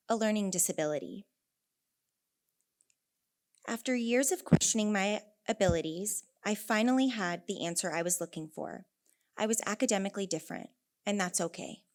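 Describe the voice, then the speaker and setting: A woman's voice: mid-range voice, normal speed. A young woman with a California accent giving a prepared conference talk, earnest and storytelling, with wry asides. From Northern California. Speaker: conference speaker, elementary teacher. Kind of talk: prepared speech.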